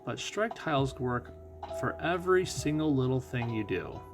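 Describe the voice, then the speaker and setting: A man giving casual game commentary as host of a playthrough, thinking out loud and putting questions to himself. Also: mid-range voice, big pitch swings, normal speed. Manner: thinks out loud, asking and answering own questions. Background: music.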